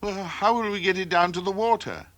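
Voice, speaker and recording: A man's voice: high voice, a little fast, noisy background, voice pushed up, natural voice. A man voicing an earnest young bird, a loyal and urgent little friend, in a storybook tale. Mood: worried.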